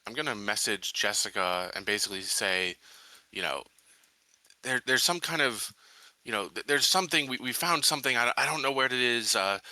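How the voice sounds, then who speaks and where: Guy playing a tabletop rpg, casual, really bright punchy voice, close dry sound. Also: mid-range voice, lively intonation, normal speed, dry recording. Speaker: player in a tabletop roleplaying game. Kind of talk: casual game-table talk.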